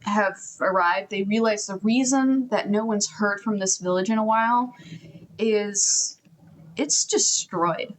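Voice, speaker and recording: A young woman narrating a tabletop game as game master over a voice call, casual and quick to laugh. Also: medium pitch, a little hiss or hum, rough recording.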